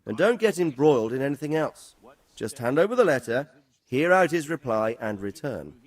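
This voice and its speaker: A wise medieval lord and father in a game drama. He is middle-aged, with a mid-pitched voice and a measured, advisory manner. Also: sing-song. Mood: instructive. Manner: measured, instructive. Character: protective, wise noble father advising his son. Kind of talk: dramatic game cutscene acting.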